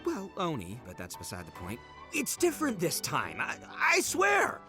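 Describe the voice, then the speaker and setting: An actor voicing a brash, hot-headed horned oni gang boss in a video game, loud, boastful and dramatic. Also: male voice, on the high side, huge pitch swings, normal speed, over music. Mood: flustered.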